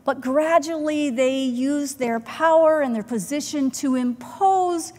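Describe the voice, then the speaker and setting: A middle-aged woman pastor preaches at a slow, unhurried pace, her voice sitting a little high. She speaks in a measured, earnest way with deliberate pauses, heard through a pulpit mic. The church sanctuary carries a long, lingering reverb. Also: mellow. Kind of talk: sermon. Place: church sanctuary, in front of the chancel. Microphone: pulpit microphone, far camera.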